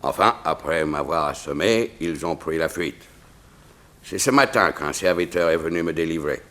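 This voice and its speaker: An actor playing a dignified royal chamberlain in a 1960s French radio drama, speaking formally and precisely. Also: male voice, very deep voice, expressive, moderate pace, a bit bright. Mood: grave, formal.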